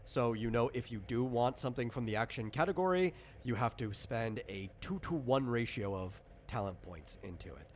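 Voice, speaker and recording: A man's voice: mid-range voice, animated, normal speed, hardly any pauses. A man casually explaining tabletop game rules as the game master, rambling and interrupting himself as he goes. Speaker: game designer running character creation. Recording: clear background noise.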